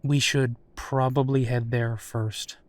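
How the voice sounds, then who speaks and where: A man playing a protective, worried but caring werewolf detective in an intimate audio roleplay, speaking in a reassuring way with pauses. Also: medium pitch, flat delivery, no room sound, lightly acted. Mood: serious, planning.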